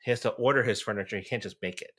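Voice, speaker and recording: A man co-hosting a podcast in casual chat, playful and quick to laugh. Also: on the low side, a bit bright. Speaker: podcast co-host.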